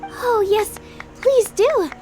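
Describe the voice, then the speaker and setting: A gentle young female dancer from a video game. Her voice is very high and sweet, courteous and lilting with wide swings of pitch, over music. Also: lively intonation, drawn-out words, full character voice. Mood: polite, cheerful. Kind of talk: video game character dialogue.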